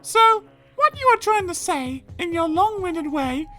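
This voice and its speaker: A man voicing a teasing young female companion in a high, mocking falsetto in a storybook reading. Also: very animated, a little slow, sharp tone, heavily acted.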